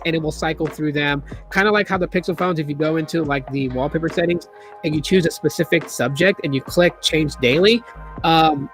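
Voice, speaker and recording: A man with a slightly high voice hosts a casual tech explainer. He is losing his voice and rambles, with plenty of fillers and restarts. Faint music plays behind him. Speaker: tech video host.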